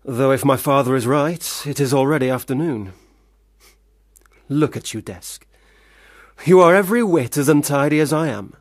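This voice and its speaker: An actor as troubled young writer, dry studio sound. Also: male voice, mid-range voice, normal speed, dry recording, close to an everyday voice. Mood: rambling, anxious. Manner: theatrical, repetitive, swinging from loud protest to muttering. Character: troubled young failed writer son, drinking, self-doubting, pleading. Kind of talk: radio play acting.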